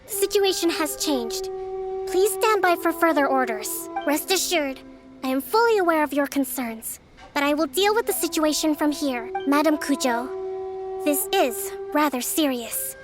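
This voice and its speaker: A young female island leader in a game. Her voice is high, her phrasing formal and measured. She stays polite yet firm, with music faintly behind her. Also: expressive. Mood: commanding, composed. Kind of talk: video game voice acting. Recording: soft music under the voice.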